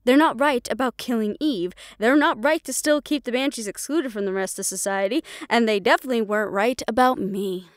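A woman's voice: fairly high pitch, fairly quick, few pauses, no echo, studio quality, lightly acted. A woman playing a defiant, loyal young banshee daughter in an audio roleplay, sarcastic and casual. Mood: angry, defiant.

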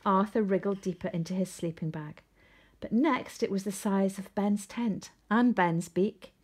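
Female storybook reader, expressive read-aloud, soft husky, breathy edge to the voice. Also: mid-range voice, a little slow. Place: indoors at home, seated.

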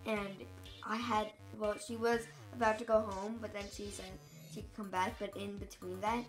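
A woman's voice: medium pitch, level tone, normal speed, faint music behind, rough recording. A young female co-host chatting casually, often cutting in and trailing off. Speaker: channel co-host. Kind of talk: casual chat.

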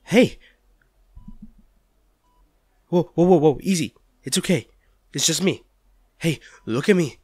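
A man's voice: on the high side, lively intonation, darkish tone, husky, dry recording. Man acting tender, anguished male lover, close and dry. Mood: urgent, calming. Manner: halting, stammering, emotional delivery. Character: tender, anguished male lover comforting an injured partner in hospital. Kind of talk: audio roleplay drama, one-sided dialogue.

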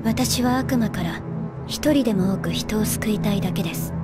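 A young female government agent, played by a woman, speaks with chilling composure. Her delivery is polite, measured and unruffled, in an anime drama style, with loud music under the voice. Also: medium pitch, natural voice. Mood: earnest, calm. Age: young adult.

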